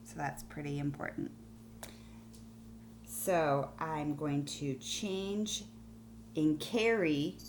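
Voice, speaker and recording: Lady teacher doing a kids phonics lesson, slow careful explaining, bit of background noise. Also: low voice, steady tone, a little mellow. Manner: deliberate explaining, spells words out letter by letter. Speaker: primary school teacher. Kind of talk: phonics lesson to young pupils on video.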